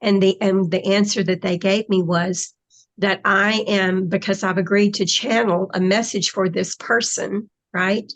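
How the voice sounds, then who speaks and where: A woman's voice: mid-range voice, steady tone, measured pace, rough recording. A woman in casual conversation, explaining her spiritual channeling in a reflective way, with trailing phrases.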